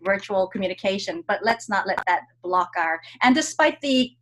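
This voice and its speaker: A woman's voice: medium pitch, expressive, moderate pace, fairly bright tone, low-quality recording. A woman hosting a casual interview over a video call, talking chattily with many fillers and restarts. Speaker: host.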